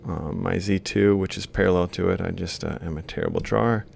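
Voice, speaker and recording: A low, heavily gravelly man talks through worked examples like a lecturer. His delivery is informal and halting, moving step by step. A faint rumbling noise sits behind the voice. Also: fairly even intonation, a little mellow. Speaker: university robotics lecturer. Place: screen-recorded lecture voice-over.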